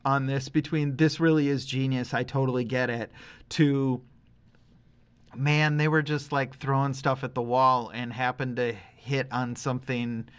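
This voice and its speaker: A middle-aged man with a medium-pitched voice talks as a podcast host. He rambles and thinks aloud, leaning hard on his opinions in loose, casual conversation. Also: flat delivery, a little slow, clear, resonant tone. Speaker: podcast host, music reviewer.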